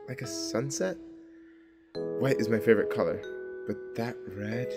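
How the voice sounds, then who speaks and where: A man's voice: mid-range voice, normal speed, creaky, lightly acted. Guy playing shy friendly coworker love interest, mid voice, bashful n hesitant, guitar music under it. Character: shy, awkward, friendly coworker in a dating simulator. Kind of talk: audio drama acting.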